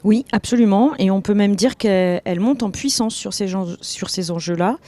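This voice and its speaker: A woman's voice: medium pitch, a little fast, dark tone. A woman who is an elected official, giving explanatory answers in a panel interview, phrasing hesitantly and repeating words. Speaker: elected official, vice-president of a local authority. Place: TV set at a convention.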